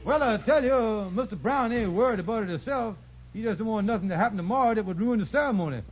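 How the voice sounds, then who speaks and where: An actor playing a scheming middle-aged con artist in an old radio sitcom, folksy and wheedling, stammering when cornered. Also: male voice, sits high, fast. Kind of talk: 1950s radio sitcom acting. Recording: muffled old radio broadcast.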